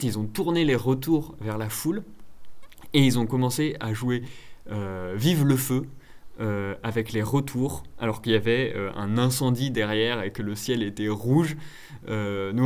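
A man presenting on radio in a casual, anecdotal style, with hesitant, halting phrasing and drawn-out pauses. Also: mid-range voice, darkish tone. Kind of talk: casual anecdote, introducing a song.

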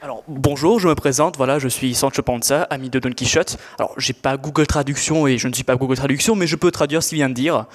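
A teenage boy plays a loyal squire in a comic audio play. He is matter-of-fact and a little halting, with a medium-pitched voice. A long reverb of a hall follows him. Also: natural voice. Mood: helpful. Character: plain-spoken, loyal male squire Sancho Panza. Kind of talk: comic audio fiction acting.

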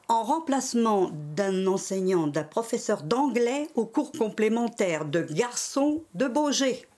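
An older French woman recalling her schooling and early adult life in an interview, telling it in a measured way in the present tense. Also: medium pitch, darkish tone. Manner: measured storytelling in the historic present. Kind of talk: oral history interview.

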